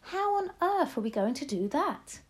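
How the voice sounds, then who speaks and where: A woman's voice: sits high, sing-song, normal speed, voice pushed up. A woman voicing an elderly grandmother who is loving, resourceful and emphatic, in a storybook reading. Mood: urgent, caring.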